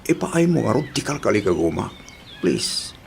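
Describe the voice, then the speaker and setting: A young Filipino boyfriend, pleading and emotional. His low voice moves restlessly up and down in radio drama style, over a noisy recording. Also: very animated, moderate pace, creaky. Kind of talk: Ilocano radio drama acting.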